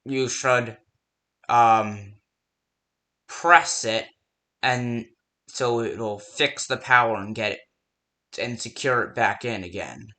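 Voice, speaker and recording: A man's voice: mid-range voice, pushed and bright. A man playing a nervous, hesitant coworker who leaves stammered security tips by phone in an amateur horror game. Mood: hesitant, friendly. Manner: hesitant, halting, stammering delivery. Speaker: voice actor in fan-made game.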